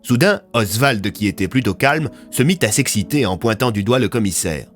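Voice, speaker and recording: A man's voice: on the low side, lively intonation, normal speed, soft background music. A man narrating a serialised audio fiction in a scripted, dramatic storytelling style.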